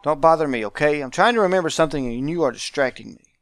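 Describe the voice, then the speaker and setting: A man's voice: a little high, expressive, quick pace, no echo, clean studio sound. A man voicing a grumpy, sarcastic fat clerk from a visual novel, dismissive and easily bothered. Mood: annoyed, dismissive. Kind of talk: visual novel character read-aloud.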